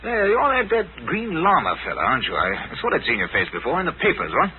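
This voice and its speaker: An actor playing a bumbling, pompous British police colonel who dithers and repeats himself, with a British accent, in 1940s radio style. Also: male voice, a little high, wildly swooping pitch, fast. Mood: flustered, officious. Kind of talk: 1940s radio adventure acting. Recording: tinny, hissy 1940s radio recording.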